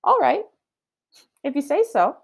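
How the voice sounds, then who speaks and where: A literal-minded storybook housekeeper, voiced by a woman reading aloud, speaks high and with lively swings in pitch. She is agreeable and naive, always cheerfully eager to help. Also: measured pace, creaky, heavily acted. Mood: puzzled, agreeable. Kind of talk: children's storybook read-aloud.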